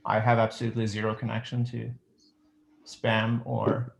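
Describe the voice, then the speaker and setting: A man's voice: on the low side, completely flat delivery, normal speed, gravelly, rough recording. A man from Switzerland, a seminar attendee introducing himself modestly on a video call.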